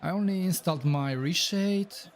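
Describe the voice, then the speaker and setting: A man's voice: high voice, normal speed, a little hiss or hum. A man with a Polish accent streaming a football game, reacting to the match and chatting with viewers. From Poland. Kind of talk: live gameplay commentary and chat.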